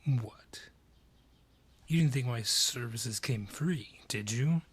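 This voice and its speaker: Man playing a breathy, slow-spoken, haughty forest dryad. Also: medium pitch. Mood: condescending, sly. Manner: taunting, condescending, deliberate. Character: haughty, sly male dryad ruling a forest, menacing and mocking. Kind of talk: fantasy audio roleplay acting.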